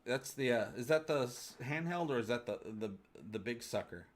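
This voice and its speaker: A man's voice: medium pitch, lively intonation, moderate pace. Casual, rambling man hosting a livestream. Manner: rambling, jokey, colloquial. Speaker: host of a fan livestream. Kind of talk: casual chat, answering live viewer comments.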